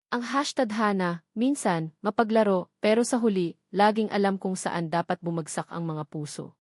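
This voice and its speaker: A woman narrating a first-person love story in a smooth, even and heartfelt way, then thanking her listeners. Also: mid-range voice, dry recording, clean studio sound.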